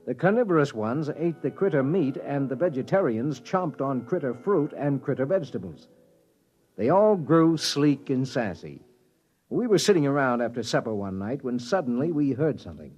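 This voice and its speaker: A man's voice: mid-range voice, animated, normal speed, darkish tone, natural voice. An actor playing a wry spaceship crewman who narrates a grim expedition in a dry 1950s radio drama style. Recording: dull old radio recording with music.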